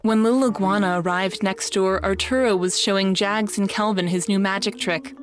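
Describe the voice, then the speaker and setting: A woman's voice: medium pitch, steady tone, moderate pace. A woman reading scripted narration for a children's read-along storybook. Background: music.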